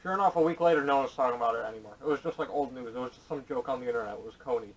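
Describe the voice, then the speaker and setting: A man's voice: mid-range voice, rapid-fire. A man debating casually on a podcast, blunt and skeptical, recorded from a distance on a camera microphone. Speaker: podcast panelist. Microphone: camera audio, away from speaker.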